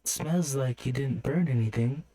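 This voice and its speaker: Practical young fantasy heroine performed by a man, raised pitch, light matter-of-fact delivery, audio storybook. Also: moderate pace, warm tone, slight background noise, close to an everyday voice, low-quality recording. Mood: teasing.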